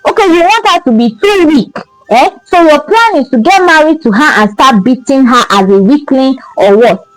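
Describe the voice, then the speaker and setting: A woman's voice: sits high, lively intonation, normal speed, low-quality recording. A woman with a Nigerian accent voicing a no-nonsense mother who nags her grown son with emphatic questions in a folktale. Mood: indignant. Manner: emphatic, nagging rhetorical questions.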